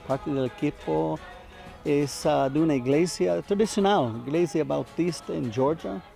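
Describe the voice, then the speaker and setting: An American man, a native English speaker, talks in halting non-native phrases with a strong American accent. His voice is fairly high and creaky, and music plays clearly behind his missionary testimony. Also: warm tone. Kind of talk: interview testimony.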